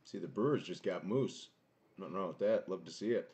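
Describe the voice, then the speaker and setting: A young man with a booming voice streaming a card box break from a small home room, chatting casually and greeting viewers by name. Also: a little fast, darkish tone. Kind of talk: casual live-stream chat.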